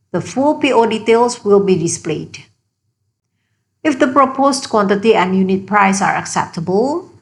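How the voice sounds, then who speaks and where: A woman with a mid-pitched voice narrates a software tutorial from a script. Her delivery is measured and explanatory, laying out each step as instruction.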